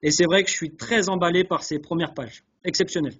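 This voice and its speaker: Earnest, hesitant man with a higher-pitched voice. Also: fairly even intonation, fairly quick, husky. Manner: earnest, hesitant, repeats words as he searches. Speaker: guest, chef sommelier. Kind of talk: conversational interview answers. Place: online video call. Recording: dull lo-fi webinar audio.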